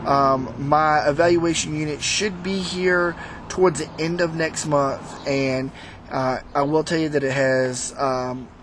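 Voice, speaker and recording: A man giving a casual, unscripted product review to viewers in a halting, rambling, conversational way. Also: fairly high pitch, steady tone, fairly bright tone, slight background noise. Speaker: product reviewer, host of a motorsport video channel.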